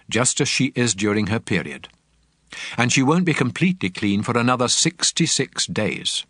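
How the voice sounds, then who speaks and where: A man's voice: mid-range voice, fairly bright tone, no echo, studio quality. A man as a solemn, commanding God with sweeping intonation. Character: God speaking law, solemn, commanding male deity. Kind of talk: dramatized scripture reading. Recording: voice over a music bed.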